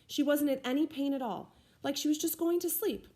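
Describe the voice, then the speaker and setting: A grieving father speaks gently and softly about a peaceful passing, read out by a woman telling a storybook. The voice stays close to the reader's own and is kept mild and tender. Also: high voice, brisk, voice pushed up, close to an everyday voice.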